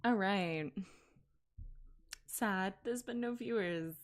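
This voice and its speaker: A woman's voice: mid-range voice, slow pace. A woman streaming casually to her chat, giggling often and correcting herself mid-sentence. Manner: giggly, chatty, laughs often between sentences. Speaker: VTuber streamer.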